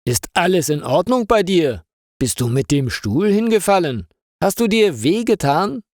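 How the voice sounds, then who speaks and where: A man's voice: sits high, very animated, unhurried, darkish tone, no echo, clean studio sound, voice pushed up. A man playing a caring father who calls out concerned questions from the kitchen in a storybook reading.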